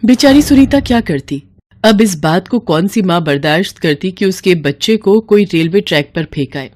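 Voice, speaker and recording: A woman's voice: on the low side, lively intonation, moderate pace, darkish tone. A woman storyteller delivering scripted, dramatic narration of a moral tale.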